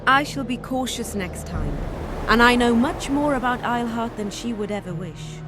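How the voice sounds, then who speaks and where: An actress playing a dragon in the guise of a young woman, speaking in a measured, composed and slightly formal way. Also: a little high, animated, background noise, natural voice. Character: dragon posing as a young woman, calm and regal leader. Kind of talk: video game cutscene dialogue. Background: loud orchestral game score.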